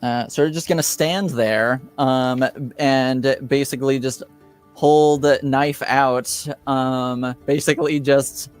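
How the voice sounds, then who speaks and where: Male game master, smooth voice, slow hesitant storytelling, music underneath. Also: medium pitch, steady tone. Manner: hesitant, halting delivery with long pauses. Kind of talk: improvised tabletop game narration.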